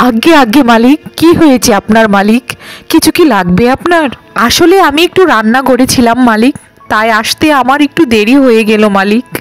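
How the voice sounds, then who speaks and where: A woman's voice: on the high side, fairly quick, no room sound, faint music behind, close to an everyday voice, low-quality recording. A woman voicing a meek, deferential household maid in a cartoon, apologetic and eager to obey. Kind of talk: cartoon voice acting.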